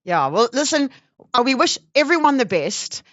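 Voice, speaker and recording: A woman's voice: high-pitched, big pitch swings, normal speed, no room sound, lo-fi sound. A South African woman hosting a live radio interview in a chatty, effusive and informal way.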